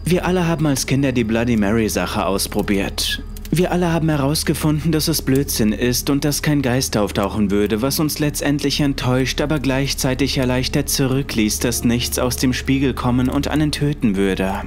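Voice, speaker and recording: A man's voice: medium pitch, moderate pace. A man narrating a scripted first-person horror story. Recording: music under the voice. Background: music.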